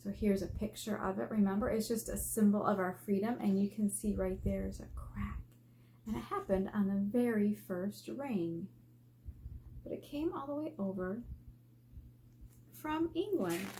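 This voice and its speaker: A woman teacher reading aloud to children in an expressive way, asking questions and drawing out key words for emphasis. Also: mid-range voice, normal speed. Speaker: elementary school teacher. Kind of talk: read-aloud lesson for children. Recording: faint background noise.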